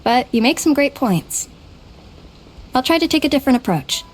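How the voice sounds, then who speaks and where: A woman's voice: high-pitched, animated, normal speed, faint music behind. Hesitant, self-doubting young woman. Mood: grateful. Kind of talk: video game voice acting.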